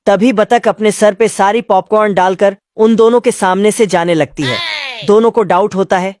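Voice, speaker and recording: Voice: medium pitch, moderate pace, a little mellow, faint music behind. A narrator telling a comic cartoon recap from a script in colloquial, slangy storytelling.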